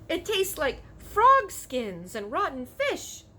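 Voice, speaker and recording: Young storybook girl, voiced by a woman: polite, inquisitive, easily startled, bright tone. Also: sits high, huge pitch swings, takes it slowly, slight background noise, voice pushed up, full character voice. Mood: disgusted. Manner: questioning, startled.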